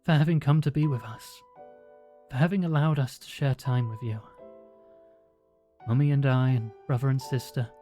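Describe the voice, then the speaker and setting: A man voicing a gentle male caregiver who speaks softly and tenderly. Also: medium pitch, steady tone, normal speed, pauses often, faint music behind, natural voice. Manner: soft, tender.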